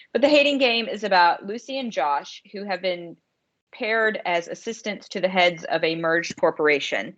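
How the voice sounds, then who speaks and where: A woman's voice: fairly low pitch, dull audio. A woman co-hosting a podcast about romance writing, chatting casually as an author.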